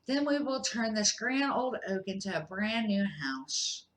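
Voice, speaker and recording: Older lady doing a kind reassuring badger guy from a kids book, gentle and slow. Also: medium pitch, reverberant, natural voice. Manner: gentle, measured, expressive. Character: kindly, reassuring male badger in a storybook.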